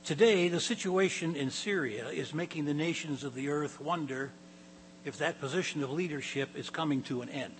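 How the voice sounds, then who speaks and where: Raspy older male pastor preaching. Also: a little high, a little hiss or hum. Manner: halting, repeats words, clears throat often. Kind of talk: sermon. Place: church hall.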